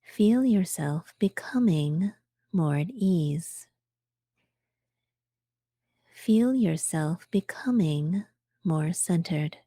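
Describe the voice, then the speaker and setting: Calm woman doing guided meditation, soft mellow tone, dry close sound, gentle pauses between phrases. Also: mid-range voice, dry recording.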